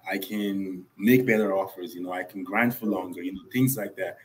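Low-voiced man from Nigeria, earnest storyteller. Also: fairly even intonation, normal speed, poor audio. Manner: earnest storytelling, repeats for emphasis. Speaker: guest. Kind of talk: casual podcast interview.

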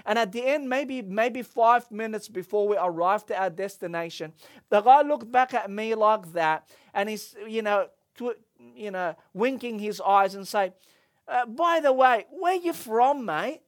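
A middle-aged man, preaching a sermon and telling a personal story, with lively quoting and frequent restarts. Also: high voice, unhurried. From Egypt. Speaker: pastor.

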